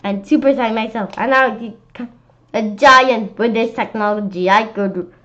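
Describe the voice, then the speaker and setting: A woman's voice: fairly high pitch, fairly even intonation, moderate pace, a bit bright, lightly acted. A woman voicing a greedy, scheming male Halloween monster who gloats about ruling the world, on a dull lo-fi recording. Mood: gloating. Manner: gloating, cackling.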